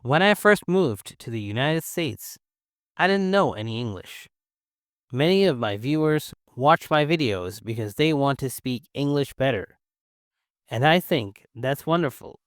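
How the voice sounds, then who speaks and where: A young man from China with a Chinese accent, whose first language is Mandarin, teaching English in an encouraging, clear and simple way. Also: mid-range voice, expressive, a little slow, no echo, studio quality. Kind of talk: scripted English-learning lesson.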